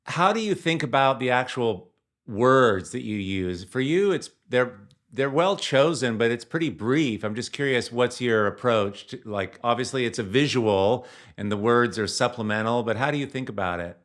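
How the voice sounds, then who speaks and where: A man's voice: medium pitch, animated, normal speed, a bit bright. A man hosting a casual interview, encouraging his guest and agreeing often, with frequent fillers. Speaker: podcast host.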